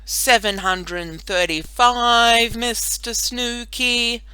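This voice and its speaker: A woman's voice: a little high, steady tone, sharp tone, smooth, no room sound, voice pushed up. A woman voicing a bored, lonely schoolgirl in a children's story, in a dogged singsong. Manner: slow, singsong counting.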